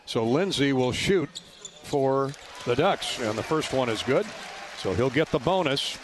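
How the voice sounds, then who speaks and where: A man's voice: mid-range voice, animated, unhurried. A man doing live radio play-by-play of a basketball game in a folksy, colorful way. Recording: loud arena noise behind courtside radio call. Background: ball bounces and gym ambience.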